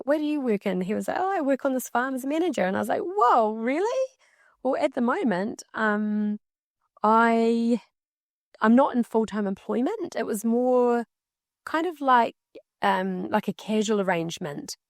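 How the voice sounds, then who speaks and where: A woman interpreting a signer's casual story aloud, in halting, conversational phrases. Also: mid-range voice, darkish tone, no room sound, clean studio sound. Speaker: sign language interpreter voicing a signer.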